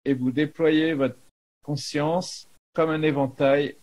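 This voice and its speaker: Slow, husky-voiced man teaching spirituality. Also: on the high side, steady tone, a little mellow, low-quality recording. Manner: halting, frequent pauses mid-phrase. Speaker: spiritual teacher, video maker. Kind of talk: unscripted spiritual talk with guided meditation.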